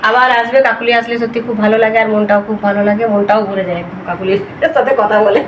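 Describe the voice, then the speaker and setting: Indian bengali lady doing a chatty home vlog, highish voice, noisy echoey room, says stuff twice. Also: steady tone, very fast. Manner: chatty, repeats phrases. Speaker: family vlogger. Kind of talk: casual home vlog chat. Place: indoors at home. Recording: roomy echo, loud household background noise.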